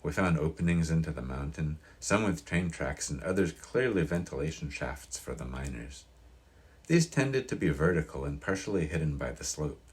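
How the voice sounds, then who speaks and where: Audiobook narrator: male, very deep bass register with a rough husky edge, reading fiction aloud.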